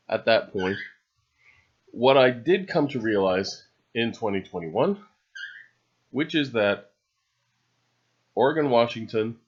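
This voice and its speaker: A man narrating an unscripted, rambling voice-over in a halting, musing way, with dry, understated humor. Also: mid-range voice. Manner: halting, musing delivery with long trailing phrases. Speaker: video narrator. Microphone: separately recorded voice-over. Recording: dull, lo-fi voice recording.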